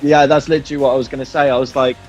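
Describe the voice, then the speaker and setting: A man's voice: mid-range voice, little pitch movement, rapid-fire, soft background music. A man chatting informally and candidly in an interview as a band musician, in a rambling way. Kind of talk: casual interview chat.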